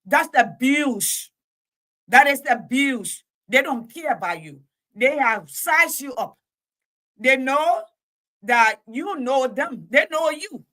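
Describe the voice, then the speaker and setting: A woman host speaks in a fairly high, bright and forceful voice. She talks with passion, repeating phrases and stretching out key words for weight. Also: animated, slow. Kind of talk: impassioned talk-show monologue.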